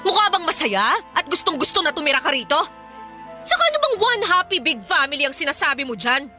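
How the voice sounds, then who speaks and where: A woman's voice: sits high, big pitch swings, quick pace, bright, forceful tone, faint music behind, heavily acted. A woman acting a stern, outspoken young breadwinner daughter, indignant and confrontational, in a Filipino radio drama. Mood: angry, pleading.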